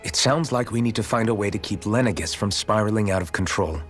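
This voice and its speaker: An actor playing an earnest, decisive young hero in a video game, with a husky voice. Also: male voice, on the low side, quick pace, fairly bright tone, soft background music, close to an everyday voice. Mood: determined.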